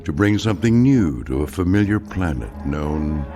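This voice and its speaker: A low-voiced, solemn male narrator delivers a radio drama introduction with sweeping, sardonic emphasis. His phrasing is measured and dramatic, over prominent music. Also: big pitch swings. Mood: ominous, sardonic. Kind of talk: anthology series opening narration, radio drama.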